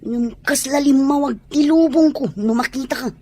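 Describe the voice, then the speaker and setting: A woman acting a high-voiced lovesick tomboy. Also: normal speed, mellow, slight background noise, natural voice. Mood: playful. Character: tomboy sweetheart, lovesick woman selling food, playful and devoted. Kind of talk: Ilocano audio comedy drama acting.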